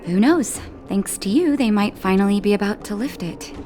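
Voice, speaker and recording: An actress voicing a calm, caring woman doctor in a video game, speaking in a gentle, reassuring way. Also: mid-range voice, normal speed, lightly acted. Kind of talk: scripted game dialogue. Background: music.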